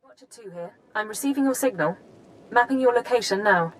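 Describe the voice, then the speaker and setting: An actress playing a brisk, firm intelligence handler briefing an agent in a video game, businesslike and no-nonsense. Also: female voice, mid-range voice, lively intonation, natural voice, rough recording.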